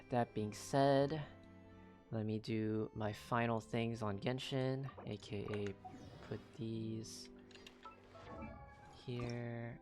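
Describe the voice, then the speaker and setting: Young guy streaming a game, slow and chill, kinda muttering, faint music behind. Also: mid-range voice. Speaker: game livestreamer. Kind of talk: casual stream chat while gaming.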